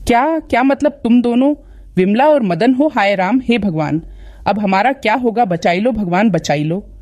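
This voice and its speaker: A woman's voice: on the high side, animated, normal speed, a little mellow, dry recording, natural voice. Woman playing a dramatic, spiteful village wife. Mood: terrified pleading. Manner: scolding, dramatic.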